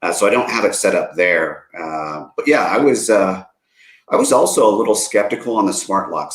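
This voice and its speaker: Low-voiced man in an echoey room. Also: fairly bright tone. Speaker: panel guest. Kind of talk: casual tech chat on a call.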